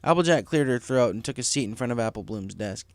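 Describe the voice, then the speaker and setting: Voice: mid-range voice, lively intonation, quick pace, no echo. A story reader giving scripted narration of a fan fiction tale.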